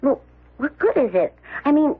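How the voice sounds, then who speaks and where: A woman's voice: high voice, big pitch swings, moderate pace. An actress playing a rich man's restless girlfriend in a radio drama, coy, coaxing and informal. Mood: doubtful. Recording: thin, phone-like radio drama audio.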